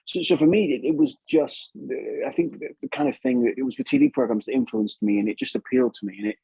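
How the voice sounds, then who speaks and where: Husky, mellow English man. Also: mid-range voice, expressive, fast talker, no room sound, low-quality recording. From England. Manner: enthusiastic, rambling, often repeats words. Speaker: puppeteer, podcast guest. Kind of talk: casual conversational interview.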